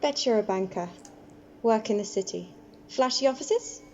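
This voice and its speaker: A woman acting as a guarded young homeless woman in an amateur radio play. Her voice is soft-edged and mellow, her lines terse and wistful, on a dull recording with faint noise. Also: on the high side, lively intonation, normal speed. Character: guarded, quiet homeless woman of twenty-six. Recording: dull, slightly noisy home recording.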